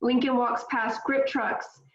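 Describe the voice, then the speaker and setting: A woman reads screenplay directions at a table read, her voice a little high. The room is echoey and the sound is dull and lo-fi. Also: flat delivery, slow. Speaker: script reader.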